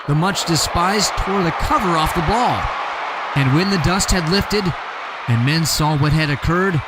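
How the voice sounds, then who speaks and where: Male poetry reader, fairly high voice, theatrical delivery, audible background noise. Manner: theatrical, swings pitch and pace for emphasis, bursts into shouts. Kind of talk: dramatic poetry recitation.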